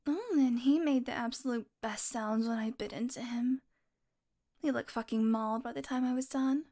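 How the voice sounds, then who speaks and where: A woman's voice: fairly high pitch, normal speed, mellow. A woman playing a teasing vampire. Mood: hungry. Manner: playful, mocking, drifts into whispers. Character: teasing predatory female vampire. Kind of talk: audio roleplay.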